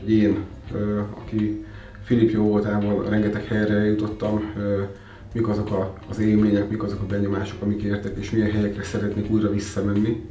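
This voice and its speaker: A man giving a calm, reflective interview answer with fillers. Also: on the low side, a little fast. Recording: distant, echoey, faint music.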